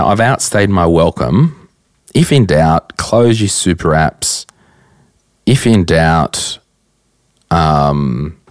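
A young Australian man with a croaky voice hosting a casual solo podcast at his desk, answering listener questions bluntly and chattily. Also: deep voice, darkish tone, dry recording, studio quality. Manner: chatty, blunt, rambling with fillers. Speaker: podcast host. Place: at a desk, indoors.

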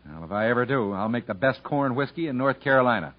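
A man's voice: mid-range voice, animated, quick pace, dry recording, close to an everyday voice. An actor playing a stubborn, folksy young country man in a 1950s radio drama. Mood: confident, curious. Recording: dull 1950s radio broadcast recording.

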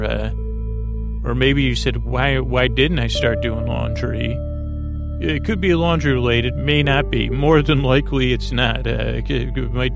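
A man hosting a bedtime podcast, talking in slow meandering tangents full of fillers and restarts, with a creaky voice. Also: medium pitch. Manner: meandering, filler-heavy, self-interrupting, soft. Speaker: podcast host. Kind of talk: rambling bedtime-story podcast talk.

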